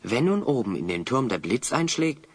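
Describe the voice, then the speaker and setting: A man's voice: mid-range voice, very animated, normal speed, slightly rough. An actor playing a determined, thoughtful heroic adventurer in an audio drama, explaining things earnestly to his companion.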